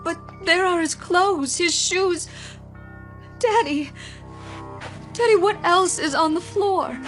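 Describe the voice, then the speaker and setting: An actress playing a bewildered, anxious young adult daughter, insistent and frightened, in a radio drama. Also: female voice, very high-pitched, slow pace, a bit bright, soft background music, exaggerated voice.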